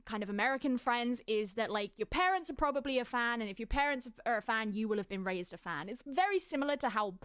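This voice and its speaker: A woman narrates an analytical video essay in a smooth, clear voice pitched a little high. She speaks articulately and at a measured pace, with a dry, close sound and only the odd filler. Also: fairly bright tone, dry recording. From United Kingdom.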